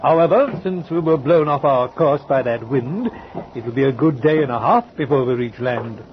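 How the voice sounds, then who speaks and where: An actor playing a polite, composed sea captain who speaks formally and matter-of-factly, with small hesitations, in 1940s radio drama style. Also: male voice, mid-range voice, animated, normal speed, close to an everyday voice. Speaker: ship's captain. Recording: dull old radio recording with clear hiss.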